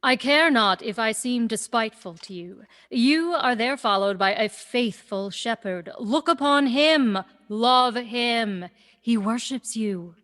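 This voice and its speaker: A woman playing a self-assured young woman disguised as a youth in a Shakespearean radio play, brisk and declamatory. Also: fairly high pitch, fairly bright tone. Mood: exasperated, brisk. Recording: long echoing reverb.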